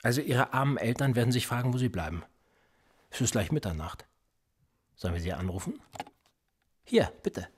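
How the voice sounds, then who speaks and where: A sly radio-drama cop questioning a suspect, husky and insinuating. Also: male voice, medium pitch, animated, normal speed, pauses often. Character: menacing male police interrogator, probing and mocking. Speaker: police officer. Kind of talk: radio play acting.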